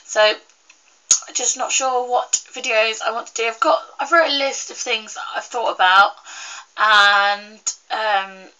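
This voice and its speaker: A young woman vlogging in a casual, rambling chat to camera, full of fillers and restarts, her voice a little croaky and congested from a cold. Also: a little high, steady tone, takes it slowly, bright, forceful tone, rough recording. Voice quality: slightly croaky.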